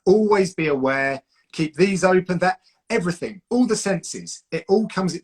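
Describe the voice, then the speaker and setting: A man's voice: high voice, expressive, moderate pace, slightly rough. A man with a southern English accent hosting a casual live stream, giving reflective advice on broadcasting and often restarting his sentences. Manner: reflective, coaching, with frequent restarts. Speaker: host, combat sports commentator.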